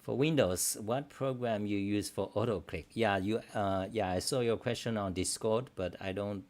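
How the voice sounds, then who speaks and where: A man's voice: on the low side, normal speed, a little mellow. A middle-aged man whose first language is Chinese, a livestream host who rambles casually and bluntly through viewer questions, swearing freely in loose, broken phrasing.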